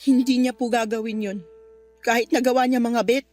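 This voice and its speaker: An actress playing a stubborn, emotional betrayed wife in a radio drama. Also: female voice, fairly high pitch, fairly even intonation, moderate pace, a little mellow, soft background music, close to an everyday voice. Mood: defiant. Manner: emotional, pleading, defiant. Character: stubborn, hurting betrayed wife and devoted mother.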